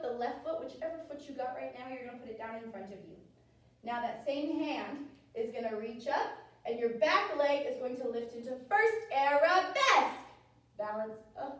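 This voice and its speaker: Echoey, high-voiced American woman teaching ballet. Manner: animated, encouraging, sing-song cueing. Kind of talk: dance class instruction for toddlers. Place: indoor dance studio with wooden floor. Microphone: camera several metres away. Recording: very echoey studio, distant microphone, music.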